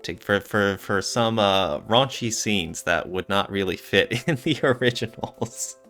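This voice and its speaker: A man's voice: lowish voice, unhurried, a bit bright, soft background music. A man co-hosting a podcast in casual discussion, often searching for his words. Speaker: podcast co-host.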